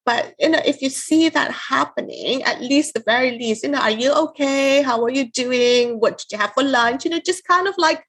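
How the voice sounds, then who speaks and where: A woman in her thirties from Malaysia, speaking as a podcast host in an animated, casual manner. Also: high voice, moderate pace, few pauses, slightly rough, low-quality recording. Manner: animated, many fillers and restarts, frequent laughs and backchannels. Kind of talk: casual interview chat.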